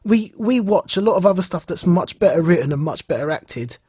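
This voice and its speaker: A man co-hosting a podcast in a casual, enthusiastic way, telling stories in an animated manner and acting out bits of dialogue. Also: high voice, a little fast, husky, no echo, studio quality. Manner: animated storytelling, acts out reported dialogue. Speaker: podcast co-host.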